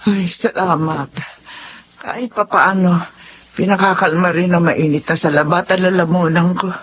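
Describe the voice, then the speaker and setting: A woman's voice: fairly low pitch, fairly even intonation, moderate pace, highs cut off, slight background noise, lightly acted. A Filipino woman playing an ailing, despairing old mother in a radio drama, her voice strained and quavering. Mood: weary, needy.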